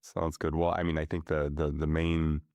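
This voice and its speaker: Man, very deep, flat pitch, hesitant reflective talk. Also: moderate pace, dry recording. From grew up in many countries. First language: Russian. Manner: thoughtful, hesitant, many fillers and restarts. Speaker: podcast guest. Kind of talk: reflective conversational interview.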